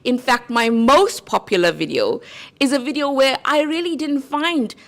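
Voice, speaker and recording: A woman with a South African accent talks straight to her viewers in an easy, conversational way. Her voice sits a little high, and she keeps checking in with a quick "right?". It sounds like earnest, practical creator advice. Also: animated. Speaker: YouTube creator. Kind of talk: casual talking-head advice.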